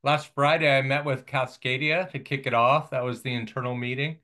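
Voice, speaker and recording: A man giving a quick, matter-of-fact update as community development director over a video call. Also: medium pitch, fairly even intonation, pushed and bright. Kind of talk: meeting update.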